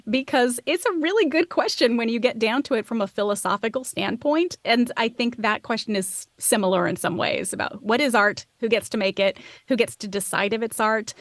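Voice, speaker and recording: A woman's voice: on the high side, no room sound. A woman giving reflective, conversational interview answers, thinking aloud and questioning herself as she goes. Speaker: podcast host as guest expert.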